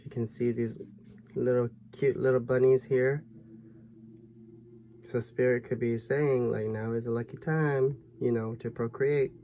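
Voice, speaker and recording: A man giving a tarot reading to viewers in a soft, musing way, his voice a little hoarse. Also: mid-range voice, flat delivery, unhurried, a little hiss or hum. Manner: soft, musing, thinks aloud with fillers. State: slightly hoarse, losing his voice. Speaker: tarot reader.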